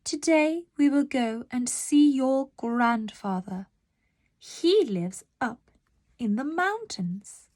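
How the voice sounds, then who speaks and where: Children's storybook aunt, played by a woman: firm, instructing, higher register, measured delivery. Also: expressive, darkish tone. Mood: brisk.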